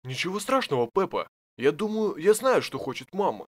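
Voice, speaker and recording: An actor voicing an easygoing, absent-minded daddy pig in a children's cartoon, musing and hesitant in an expressive way. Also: medium pitch, moderate pace. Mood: mild, hesitant.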